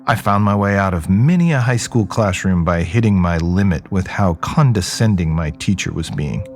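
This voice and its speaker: Low-voiced jaded young man, narrating wryly. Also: expressive, moderate pace, faint music behind. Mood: wry, rambling. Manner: wry, conversational, rambling asides. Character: jaded, rebellious young man, wry and rambling. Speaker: narrator and lead character of an audio drama. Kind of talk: first-person dramatic narration, conversational storytelling.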